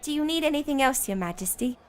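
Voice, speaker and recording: A timid young maid. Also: female voice, high-pitched, lively intonation, fast talker, a faint crowd. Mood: eager. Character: timid young female servant. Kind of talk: audio drama acting.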